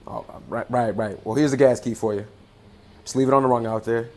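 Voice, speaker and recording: An actor playing a gossipy, teasing small-town ticket clerk in a radio play, chatty and prone to mumbled asides. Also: male voice, mid-range voice, fairly even intonation, a little fast, a little mellow, lightly acted. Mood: folksy, chatty.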